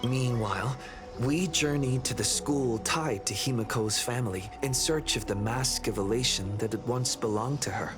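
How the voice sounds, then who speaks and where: A man narrating a video game's story recap as its young hero, in a measured, solemn storytelling manner. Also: mid-range voice, over music, lightly acted.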